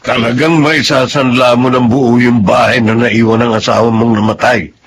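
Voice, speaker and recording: A man's voice: medium pitch, level tone, moderate pace, background noise, lightly acted. Actor as a stern, fed-up Filipino policeman. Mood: stern, disapproving. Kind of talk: Filipino radio drama acting.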